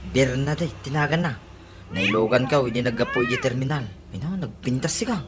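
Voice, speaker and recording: A man's voice: medium pitch, expressive, fairly quick, background noise, natural voice. An actor with an Ilocano accent playing a chummy, teasing male drinking buddy in a comedy radio drama. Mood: bantering.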